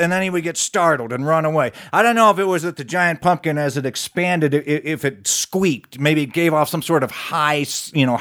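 A man telling a tall tale live in a folksy, conversational way, with animated asides to his listeners. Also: on the high side. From West Virginia, United States. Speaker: storyteller. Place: recorded live in a studio.

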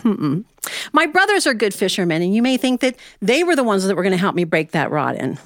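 An American woman storyteller from Ohio telling a tale live to listeners, in an animated, folksy way with dramatic pauses and asides. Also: medium pitch, no room sound, clean studio sound. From Ohio, United States.

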